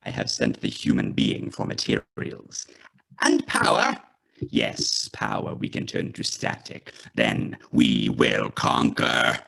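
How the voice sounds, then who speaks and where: A domineering alien war machine from a radio drama. The voice is slow and heavily creaky, swinging wildly in pitch as it chants cold, staccato orders. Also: male voice, huge pitch swings, a bit bright, heavily acted. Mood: cold, scheming. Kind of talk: radio play reading.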